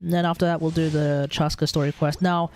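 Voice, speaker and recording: Aussie guy streamer, kinda high voice, casual rambly chat, rewords himself, game music behind. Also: flat delivery, brisk. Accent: Australian.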